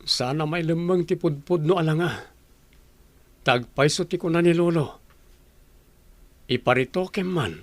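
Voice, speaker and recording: An actor playing an easygoing young husband in an Ilocano radio drama, speaking in an Ilocano accent with a relaxed, teasing manner. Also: male voice, a little high, a little slow, long pauses, no echo, close to an everyday voice.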